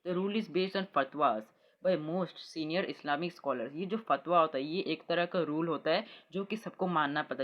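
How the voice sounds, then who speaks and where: A man with a fairly high-pitched voice and an Indian accent presents a news update. He explains things informally, moving between Hindi and English with a halting, unpolished delivery. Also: muffled recording. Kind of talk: explanatory news update, reading and paraphrasing reports.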